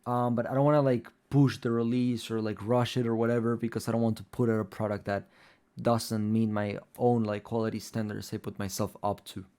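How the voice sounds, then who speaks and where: A man's voice: on the low side, little pitch movement, brisk, dark tone. A young man whose first language is Spanish, talking casually and loosely through a tutorial as a music producer, with lots of fillers. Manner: rambling, loose, many fillers like "like" and "um".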